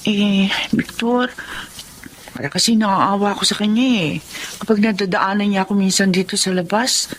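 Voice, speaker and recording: A woman's voice: mid-range voice, moderate pace, long pauses, lightly acted. Woman acting a nosy, sympathetic neighbour. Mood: pitying. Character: nosy, sympathetic young mother eavesdropping on a neighbour. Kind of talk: Filipino radio-style melodrama acting.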